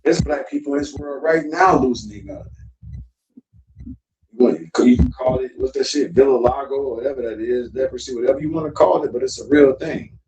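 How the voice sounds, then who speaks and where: A man's voice: medium pitch, a little fast, darkish tone. A man from South Florida hosting a casual talk show, lecturing loosely and swearing freely. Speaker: podcast host. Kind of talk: casual chat. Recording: distant mic with faint noise.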